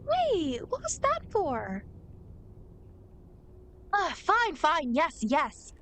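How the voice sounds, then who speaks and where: Casting: actress as smug, playful female demon guide for ASMR roleplay, high voice with lively swings, teasing and easily affronted. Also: extremely slow, a little hiss or hum, exaggerated voice. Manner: teasing, smug, mock-indignant.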